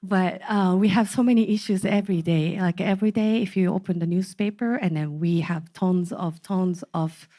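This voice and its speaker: A woman in her forties from Okinawa with a Japanese accent, giving a talk to a community gathering, halting and full of fillers. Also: lowish voice, steady tone, warm tone. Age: 40s. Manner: halting, many fillers and restarts. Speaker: speaker.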